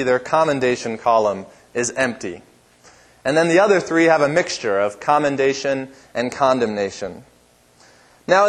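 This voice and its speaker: A pastor delivering a sermon in a church with a strong, powerful voice and an emphatic, repetitive preaching cadence. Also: male voice, on the high side.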